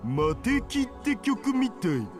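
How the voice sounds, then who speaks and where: An actor playing a laid-back, geeky young hacker friend with a casual, slangy drawl in a visual novel. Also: male voice, very high voice, very animated, moderate pace. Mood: helpful, curious. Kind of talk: Japanese visual novel voice acting. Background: game music.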